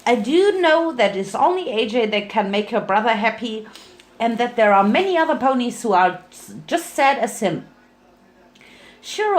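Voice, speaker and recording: A woman voicing a bouncy, silly young female pony secret agent, chatty and excitable, in a dramatic story reading. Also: on the high side. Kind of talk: dramatic fan fiction reading.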